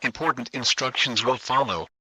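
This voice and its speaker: A man's voice: mid-range voice, normal speed, very noisy. A man delivering a formal, scripted emergency alert announcement.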